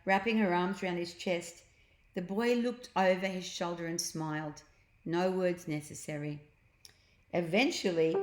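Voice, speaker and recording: Woman reading a children's story, roomy echo. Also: on the low side. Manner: explains pictures and words to children. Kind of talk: read-aloud storytelling with asides to young listeners. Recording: roomy, echoing sound.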